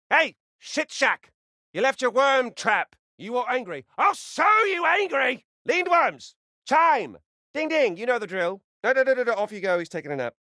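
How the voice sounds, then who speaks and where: A man voicing a rude, sarcastic, foul-mouthed squirrel spirit in a video game, swinging between muttered asides and brash yells. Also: high-pitched, no room sound, studio quality, heavily acted. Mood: angry, taunting, then bossy, impatient. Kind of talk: video game character acting.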